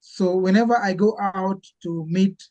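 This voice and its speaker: A man from Kenya, a guest speaking earnestly and haltingly as he searches for words. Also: high voice, steady tone, darkish tone, poor audio. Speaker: conservationist and medic guest. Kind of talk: casual interview chat.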